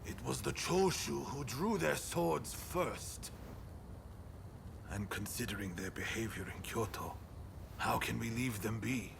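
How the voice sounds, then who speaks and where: An actor playing a stern, wary samurai clan leader with a grave, formal manner in a video game cutscene. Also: male voice, medium pitch, a little slow, vocal fry, background noise. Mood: indignant. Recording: music behind the voice.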